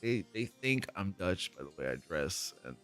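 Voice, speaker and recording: A man telling lively anecdotes in a casual interview chat, laughing often and acting out the people in his stories. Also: low-pitched, moderate pace, soft background music. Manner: animated storyteller, laughs often, acts out people he quotes.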